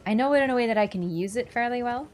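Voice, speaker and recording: A woman's voice: a little high, very fast, slight background noise. A woman streamer chatting casually with her chat while she plays a game, laughing easily and answering questions. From Poland. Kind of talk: casual gaming livestream chat.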